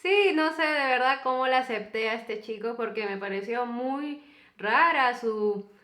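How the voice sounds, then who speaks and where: A young Peruvian woman in her twenties co-hosting a couple's vlog, telling their story in a chatty, animated way. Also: on the high side, measured pace, echoey room. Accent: Peruvian Spanish. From Peru. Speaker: co-host of a couple's channel.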